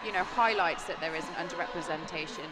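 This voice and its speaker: A British woman commentating live on a roller derby game, earnest and explanatory, rising in excitement on the plays. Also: fairly low pitch, fast, heavy background noise. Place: sports venue.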